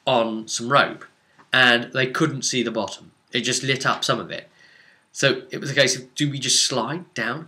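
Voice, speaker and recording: A man's voice: mid-range voice, bright, forceful tone. A man recounting a tabletop role-playing session as game master, informal and rambling, with descriptive detail and dramatic emphasis on key words.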